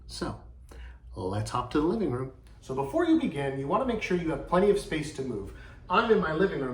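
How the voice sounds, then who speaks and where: A man teaching young children, guiding them through an acted-out story in an expressive, theatrical, instructive way, recorded in his living room. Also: a little high. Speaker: drama teacher.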